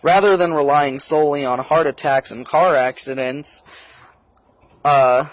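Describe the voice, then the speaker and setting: A man's voice: fairly high pitch, unhurried, phone-quality sound. A man, a native English speaker, giving a blunt, casually profane and opinionated movie review in a conversational style.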